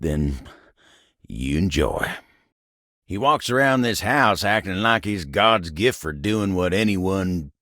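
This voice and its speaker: Game role: earnest, kindly country feller, gravel-voiced, unhurried American Southern delivery, recorded very dry. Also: male voice, low-pitched, fairly bright tone, dry recording, heavily acted. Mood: irritated, grumbling. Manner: folksy drawl, humble and earnest. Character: gentle, folksy living table, cowboy-like helper. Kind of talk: video game character voice acting.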